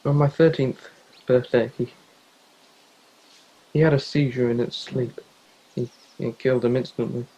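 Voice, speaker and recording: A worried, loyal teenage boy, acted by a young man, pleads earnestly in a soft-edged, dark voice of medium pitch. The delivery is that of youth stage drama. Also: steady tone, unhurried, pauses often, poor audio. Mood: sorrowful. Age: young adult.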